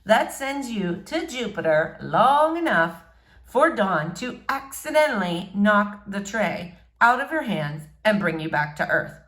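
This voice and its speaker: A woman reading a novel in verse aloud, expressively, in short measured phrases. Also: mid-range voice, sing-song, fairly bright tone. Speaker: audiobook-style reader.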